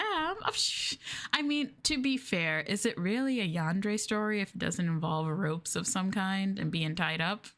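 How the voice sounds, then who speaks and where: Female streamer, bubbly and chatty, mid-pitched, casual livestream talk. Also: bright, forceful tone.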